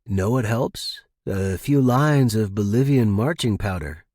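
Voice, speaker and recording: Man playing a sly, husky office schemer. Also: lowish voice, animated, unhurried, darkish tone, no echo, clean studio sound. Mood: sly, smug. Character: scheming, smug young male office analyst. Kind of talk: narrated story character dialogue.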